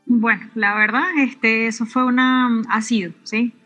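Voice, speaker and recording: A middle-aged woman from Venezuela, a physicist answering interview questions in a halting way, often restarting and adding tag questions. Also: a little high, steady tone, sharp tone, faint music behind. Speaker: physicist and researcher, interview guest.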